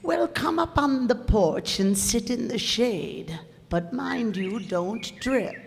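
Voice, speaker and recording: A woman's voice: fairly high pitch, lively intonation, slow pace, vocal fry, heavily acted. A woman acting a proud, flustered 75-year-old widow in a radio play, with formal, stammering speech. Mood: gently chatty. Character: elderly widow, 75, indignant, wistful, proud of her girlhood. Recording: echoey hall, noisy live stage recording.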